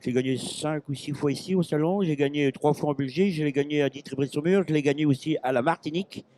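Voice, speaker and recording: A man's voice: fairly high pitch, fast, warm tone. Man, 50s, from Normandy; hesitant, rambling guest, handheld mic. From Normandy, France. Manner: rambling, hesitant, full of restarts and fillers. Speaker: interview guest, pig-call champion. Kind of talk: casual chat. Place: busy agricultural fair stand.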